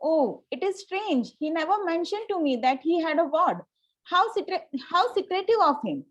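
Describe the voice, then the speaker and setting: A woman's voice: very high voice, big pitch swings, normal speed, lo-fi sound. A woman reading the part of a haughty, gushing young Victorian society lady in an amateur play reading, formal and self-assured. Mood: coolly polite. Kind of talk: amateur play table read.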